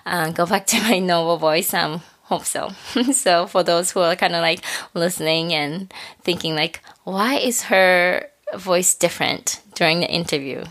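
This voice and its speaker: Husky-voiced woman hosting a podcast. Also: lowish voice, measured pace, a bit bright. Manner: chatty and encouraging, with frequent small laughs. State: husky from a lingering cough. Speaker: podcast host. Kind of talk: casual solo podcast wrap-up.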